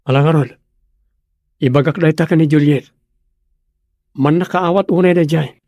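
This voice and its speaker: A man's voice: a little high, moderate pace, darkish tone, dry recording, clean studio sound, natural voice. A man playing an earnest, dutiful grown son in a radio drama, with an Ilocano accent. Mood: concerned.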